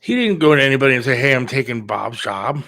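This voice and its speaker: A man's voice: mid-range voice, big pitch swings, fast talker, bright, forceful tone, no room sound, clean studio sound. A middle-aged man talking candidly and casually on a talk show, plain-spoken and a little defensive. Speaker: radio producer and talk show host.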